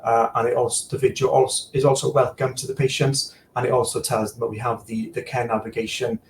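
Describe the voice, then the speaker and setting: Here is a man on a video call whose voice has a gravelly, creaky edge and sits at an ordinary middle pitch. He walks through a screen demo in easygoing chat, stumbling over fillers and repeated words. A faint hiss sits under him. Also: flat delivery, fast talker, poor audio. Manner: frequent fillers and repeated words while explaining. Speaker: guest, digital services supplier and former practice manager.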